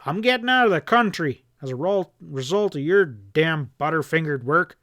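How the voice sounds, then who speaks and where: A man's voice: on the high side, moderate pace, bright, forceful tone, no room sound, clean studio sound. A man voicing a hard, furious outlaw leader who roars and snarls his threats. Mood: shouting. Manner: snarling, roaring, ominous.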